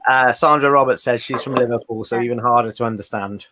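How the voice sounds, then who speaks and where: A man's voice: medium pitch, steady tone, fast talker, noisy background. A British man hosting a live stream from home in Wales, chatting loosely and reading out viewer comments. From Britain. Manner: chatty, many fillers, reads out viewer comments. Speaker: host. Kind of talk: casual live-stream chat. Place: home in Wales, video call.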